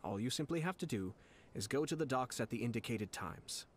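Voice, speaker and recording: An actor playing a composed, formal young nobleman official who speaks politely and in measured phrases. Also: medium pitch, expressive, a little hiss or hum, lightly acted.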